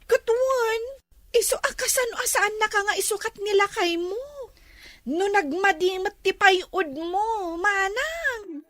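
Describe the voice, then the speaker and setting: A woman's voice: very high-pitched, lively intonation, a little slow, a bit bright, no echo, studio quality, full character voice. A woman playing a giggly, vain younger sister. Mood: teasing. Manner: teasing, giggly. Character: vain younger sister fussing over beauty. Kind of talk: comedy web drama acting.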